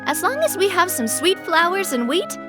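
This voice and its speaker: A gracious young noblewoman from a video game, leading her crew with gentle cheer. Her voice sits high and moves lively up and down. Faint music plays behind her. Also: moderate pace. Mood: cheerful.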